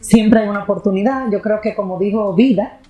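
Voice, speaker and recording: A woman's voice: medium pitch, a little fast, mellow. A woman hosting a talk, speaking conversationally and often restarting and correcting herself mid-sentence. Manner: rambling, self-correcting, restarts with fillers. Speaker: host.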